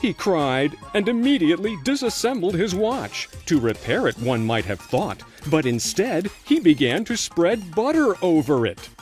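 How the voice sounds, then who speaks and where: A man's voice: sits high, very animated, moderate pace, few pauses, a little mellow. A man narrating a scripted children's storybook tale as its storyteller. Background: faint music.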